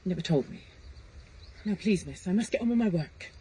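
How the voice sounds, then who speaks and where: Over clear background noise, a loyal servant woman in a gothic period drama. Her voice is soft-edged and mellow with lively swings of pitch. She is clipped and guarded, respectful yet firm. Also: fairly quick. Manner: clipped, evasive, deferential but firm.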